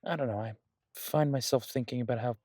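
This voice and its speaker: A man performs a charming male crime boss in an intimate audio roleplay, recorded very dry with no room sound. His voice sits at a middle pitch as he rambles casually, pausing and hesitating, slipping between self-mockery and playful boasting. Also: a little mellow, dry recording, natural voice. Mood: fond, playfully smug. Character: charming wry male mafia boss, restless in hiding.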